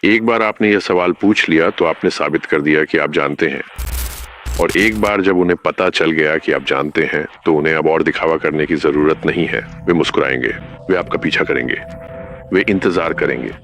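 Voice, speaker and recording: Man acting as very deep, ominous warning announcer. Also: fairly bright tone, a little hiss or hum. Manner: measured, formal, ominous. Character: grave male announcer of an eerie public warning. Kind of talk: scripted analog horror narration.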